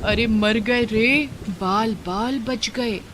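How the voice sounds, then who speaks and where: A woman's voice: a little high, extremely slow, a bit bright, a little hiss or hum, pitched above the performer's own voice. A woman voicing a cowardly, superstitious male villager in a storybook tale, wailing and exclaiming. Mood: panicked wailing.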